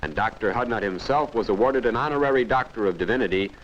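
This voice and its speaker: A man's voice: lowish voice, fast talker. A man with an American accent reading scripted narration for an early 1960s newsreel. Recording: dull, noisy 1961 newsreel soundtrack.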